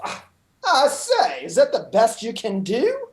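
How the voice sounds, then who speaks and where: Pompous, plummy English lord, slow and very animated, jovial twit for radio comedy. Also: female voice, on the high side. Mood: flirtatious, boastful. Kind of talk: comic radio play acting.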